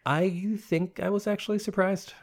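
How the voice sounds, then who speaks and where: A man's voice: sits high, steady tone, moderate pace. A man in his thirties co-hosting a casual movie podcast, sick with a sore throat and talking with nostalgic enthusiasm. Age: 30s. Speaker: podcast co-host.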